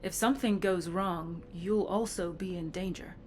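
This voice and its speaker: An actress playing a determined, cool-headed infiltration agent in a video game, controlled and businesslike in delivery. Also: female voice, on the low side, steady tone, moderate pace, slight background noise, lightly acted. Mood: resolute. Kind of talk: dramatic video game dialogue.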